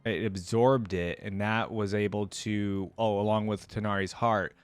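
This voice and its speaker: A man hosting a reaction video, giving casual, expressive and enthusiastic commentary. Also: on the low side, unhurried, smooth, no room sound, soft background music. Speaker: host of a reaction channel.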